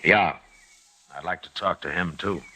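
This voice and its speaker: An actor playing a steady, laconic middle-aged American marshal in a radio western, measured, patient and firm. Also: male voice, low-pitched, a little hiss or hum, exaggerated voice. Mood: calm, wary. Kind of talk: 1950s radio western acting with narration.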